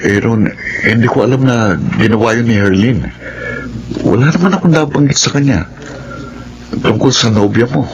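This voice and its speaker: An actor playing a gentle, trusting suitor in a Tagalog melodrama, speaking earnestly. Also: male voice, medium pitch, normal speed, long pauses, highs cut off, background noise, lightly acted. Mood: puzzled. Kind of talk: melodramatic radio drama acting in Tagalog.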